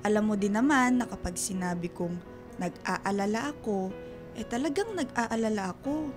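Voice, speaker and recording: A woman's voice: mid-range voice, normal speed, lightly acted. An actress playing a fretful, doting middle-aged Filipino mother who fusses and nags in a radio drama. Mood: worried, scolding. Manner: fussing, nagging, expressive. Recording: music behind the voice.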